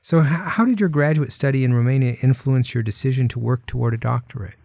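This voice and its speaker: A man's voice: medium pitch, fast, gravelly, a little mellow, no room sound. A man hosting a university interview show, reading polished introductions and asking questions. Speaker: host, college dean.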